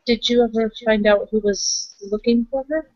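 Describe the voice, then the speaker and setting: High-voiced woman in casual tabletop roleplay. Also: fairly even intonation, moderate pace, darkish tone, no echo, lo-fi sound. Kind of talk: casual roleplay, in character and narrating.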